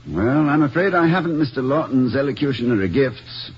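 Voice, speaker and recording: An actor in an old-time radio comedy-drama playing a kindly, formal, slightly pompous college president who speaks in wordy, gently wry sentences. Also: male voice, mid-range voice, lively intonation, brisk, breathy edge. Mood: wry, playful. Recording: muffled, noisy old radio recording.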